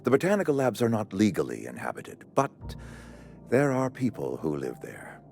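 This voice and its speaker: An actor playing a pompous, courteous company administrator in a video game, speaking in a formal, wordy, officious way. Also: male voice, lowish voice, wildly swooping pitch, moderate pace, a little mellow, faint music behind. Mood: grave, earnest. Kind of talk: video game character acting.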